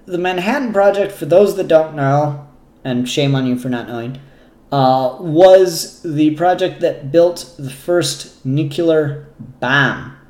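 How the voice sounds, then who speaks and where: A man hosting a casual, unscripted review show, talking in a halting way with pauses mid-sentence and casual swearing. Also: on the high side, darkish tone. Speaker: comic book reviewer and show host. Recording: roomy, echoey room sound.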